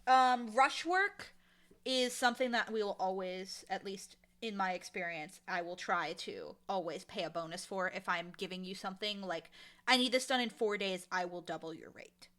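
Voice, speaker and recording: A woman with a very bright, forward voice talks in a casual interview. She is animated and emphatic, with an informal, joking delivery and a mid-range pitch. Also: normal speed. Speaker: audio drama showrunner and writer, interview guest.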